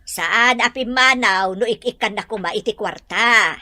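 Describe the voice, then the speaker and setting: A woman's voice: medium pitch, a little slow, pushed and bright, no room sound, clean studio sound. An actress playing a protective, indignant older village mother in an Ilocano audio drama, with an Ilocano accent. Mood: pressing. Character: protective, indignant village mother defending her grown child.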